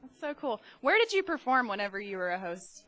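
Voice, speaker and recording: A young woman conducting casual interviews backstage at a show. Her voice is bright and fairly high, and she keeps things upbeat with quick affirmations between questions. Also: big pitch swings, low-quality recording. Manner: upbeat, repeats short affirmations.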